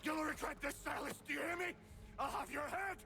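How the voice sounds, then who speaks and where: Sharp, forceful, proud captive fixer. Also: male voice, very high voice, a little fast, creaky, heavily acted. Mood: furious. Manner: indignant, emphatic. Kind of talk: dramatic game cutscene acting.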